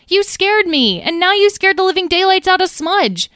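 A woman's voice: medium pitch, animated, normal speed, fairly bright tone, no room sound, clean studio sound, close to an everyday voice. A woman voicing a bold young storybook heroine who is exasperated, protective and forthright. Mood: exasperated, scolding. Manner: forthright, exclamatory. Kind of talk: children's audio story.

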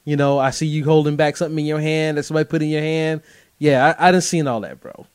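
Fairly high-voiced male podcast host, dry sound. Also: fairly even intonation, fast, a little mellow, smooth, dry recording. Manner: conversational, uses "bro", stammers restarts when starting questions. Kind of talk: casual podcast conversation.

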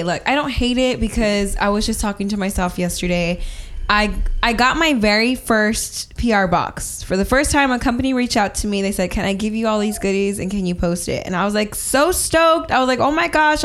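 A woman's voice: on the high side, normal speed, a bit bright. A young woman hosting a casual podcast chat, talking emphatically with frequent restarts. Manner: emphatic, rising to exasperated quoting. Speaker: podcast host.

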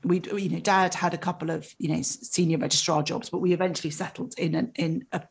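Low husky woman in her fifties. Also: fairly even intonation, fast talker. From Egypt. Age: 50s. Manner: chatty, self-interrupting, laughs often. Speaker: child psychologist guest. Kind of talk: casual interview chat.

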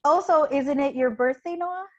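A woman's voice: high voice, normal speed, muffled recording, a crowd in the background. Chatty woman from Chicago, podcast guest. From Chicago, USA. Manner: chatty, lots of fillers like "like" and "you know". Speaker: podcast guest, middle school teacher. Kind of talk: casual podcast chat.

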